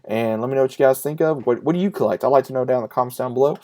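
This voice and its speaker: A man in his late twenties with a mid-pitched voice talks off the cuff in a casual vlog style. He is earnest and emphatic, often repeating a phrase for weight and pausing between thoughts. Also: dark tone. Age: late 20s. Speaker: YouTube vlogger and collector. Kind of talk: casual, unscripted chat to viewers.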